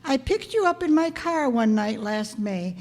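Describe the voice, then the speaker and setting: A woman playing a wry, quick-witted schoolteacher with dry wisecracks in an old-time radio comedy. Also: a little high, expressive, a little mellow. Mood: insistent. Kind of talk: old-time radio sitcom acting before an audience. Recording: echoey hall, amateur stage performance.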